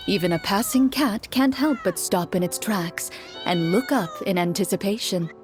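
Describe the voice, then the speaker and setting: A woman voicing a composed game master, narrating a tabletop quest in measured, descriptive storytelling. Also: medium pitch, music in the background, close to an everyday voice. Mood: composed narration. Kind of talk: scripted second-person storytelling narration.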